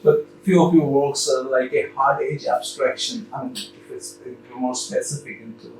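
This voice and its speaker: A man's voice: medium pitch, steady tone, moderate pace, soft background music. Brief: talkative male interviewer who finishes the guest's sentences, mid-range voice, mic set far off in a very echoey room. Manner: wordy, talks over and finishes the guest's sentences. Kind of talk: casual interview. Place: art gallery.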